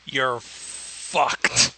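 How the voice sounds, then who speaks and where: A man's voice: drawn-out words, sharp tone, a little hiss or hum. A man co-hosting with casual sarcastic commentary, blunt and profane. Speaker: co-host heckler.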